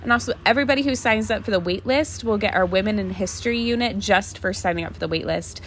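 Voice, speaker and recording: A woman's voice: medium pitch, fast talker, slight background noise. Chatty, enthusiastic woman podcast host. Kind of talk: casual solo podcast talk.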